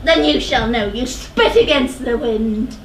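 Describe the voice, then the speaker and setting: An actress playing a blind old prophet in a stage verse drama, declamatory, foreboding and taunting. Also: female voice, on the high side, a little slow. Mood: defiant. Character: blind old female seer, ominous and defiant. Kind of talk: theatrical verse stage acting. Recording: echoey theatre, distant mic, faint noise.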